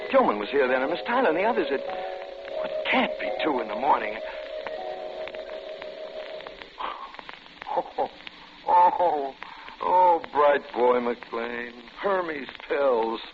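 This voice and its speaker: A man's voice: mid-range voice, big pitch swings. A worn-out office worker telling his own nightmare in a vintage radio thriller. His voice rises and falls dramatically as he stammers and repeats himself. The old recording is dull, with prominent music behind him. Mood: dazed, confused. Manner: halting, stammering, repeating words. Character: exhausted, uneasy office man narrating his own nightmare. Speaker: narrator and protagonist. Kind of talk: 1950s radio suspense acting, first-person narration.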